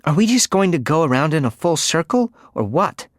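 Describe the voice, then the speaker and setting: Brief: male reader playing a needling teenage girl, high and bright, dry recording. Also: big pitch swings, a little fast, dry recording. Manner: blunt, teasing.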